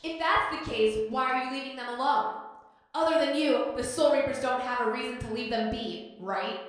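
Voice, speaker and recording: A woman's voice: sits high, normal speed, fairly bright tone. A woman voicing a defiant, hot-tempered young captive fighter in an anime fan dub, brash and scornful, in a very echoey space. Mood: suspicious. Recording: very echoey, reverberant.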